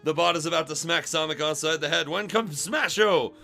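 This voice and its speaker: A man's voice: on the high side, fairly quick, vocal fry, sharp tone. A man giving a comic dramatic read-aloud on a livestream, playful and prone to breaking into laughter. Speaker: streamer reading fan fiction. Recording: background music behind the voice. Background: music.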